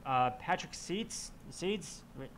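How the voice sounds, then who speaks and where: A man's voice: a little high, expressive, slow pace, a bit bright, slight background noise. A man talking casually in a group podcast discussion, often fumbling his words and backtracking. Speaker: podcast panelist.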